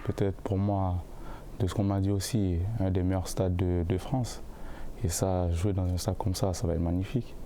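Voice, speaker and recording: A young male football player answering interview questions in a casual, halting way, often repeating words while he looks for the phrasing. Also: deep voice, flat delivery, mellow, slight background noise. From born in the United States, raised in Canada. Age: young adult.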